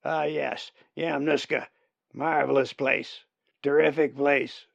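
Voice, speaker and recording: A man voicing an old, tattered, one-eyed male raven with a raspy, theatrical, booming manner. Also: level tone, slow pace, no room sound, full character voice. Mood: grand, theatrical. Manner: grand, loud, theatrical. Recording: dull lo-fi recording.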